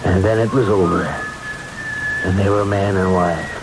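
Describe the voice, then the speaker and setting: A haunted best man, played by a male actor, narrating a radio ghost story in a lowish voice. He is grave and measured, with loud music running underneath. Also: steady tone, close to an everyday voice, low-quality recording. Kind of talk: old-time radio drama narration.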